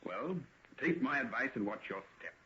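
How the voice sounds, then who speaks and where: A sceptical friend in a vintage radio play. He is wry and blunt, always cautioning, at a middling pitch. The recording is dull and noisy. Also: animated, moderate pace, pushed and bright. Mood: wary, teasing. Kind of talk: 1940s radio acting.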